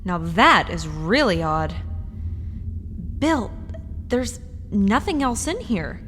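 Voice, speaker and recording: A woman's voice: mid-range voice, big pitch swings, slow pace, fairly bright tone. A woman playing an uneasy but curious wife in a radio horror play, hesitant and full of wonder. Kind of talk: radio horror drama acting. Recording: reverberant, noisy radio drama recording.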